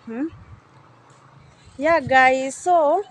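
A young woman talks the viewer through a farm in a loose, wandering way, often trailing off to guess. Her voice sits high. Light outdoor noise hums behind her on a phone mic. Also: drawn-out words. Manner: chatty, unsure, thinking aloud. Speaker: vlogger touring a coffee farm. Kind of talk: casual guided walk-through. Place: outdoors at a coffee farm and factory. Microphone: phone camera held by speaker.

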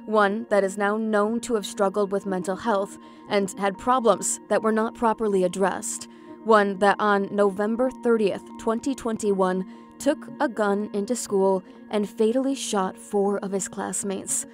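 A young adult woman reporting a local TV news story, with a measured, earnest delivery. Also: mid-range voice, fairly even intonation, soft background music. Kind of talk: news report narration and interview.

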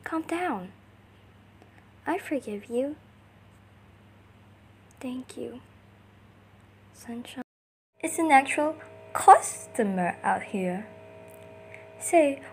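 A woman's voice: sits high, darkish tone, a little hiss or hum. A woman doing an amateur comic dub, acting out short character lines theatrically in switching voices.

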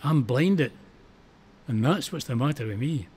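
A man's voice: mid-range voice, lively intonation, unhurried, darkish tone, slightly rough. A man reciting dramatic verse, voicing a plucky wounded Scottish soldier of the First World War, dogged and exclamatory. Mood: pained, stoic.

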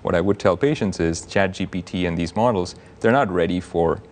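A man in his thirties with a deep, low voice, walking a morning TV audience through his research in a steady, measured way. He's on a lapel mic in a news studio with a faint hum behind him. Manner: measured, explanatory. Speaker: cardiologist guest. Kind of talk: TV studio interview.

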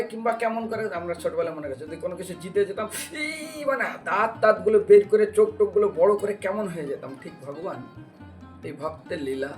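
A man delivers a devotional sermon in a high-pitched voice. He explains sacred stories like a storyteller, posing questions along the way. He sounds some way from the microphone, with plucked string music playing underneath. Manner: explanatory, with rhetorical questions and acted-out dialogue. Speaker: religious preacher giving a devotional lecture. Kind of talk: devotional storytelling sermon with recited scripture verses. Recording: distant microphone with music behind.

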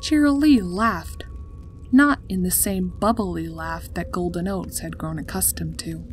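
A woman's voice: lowish voice, measured pace, noisy background. A woman reading a scripted story as its narrator. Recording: music behind the voice. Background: background music.

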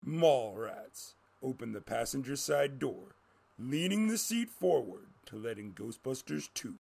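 A man's voice: medium pitch, animated, measured pace, vocal fry, darkish tone, breathy edge. A man reading a scripted story as a dramatic narrator.

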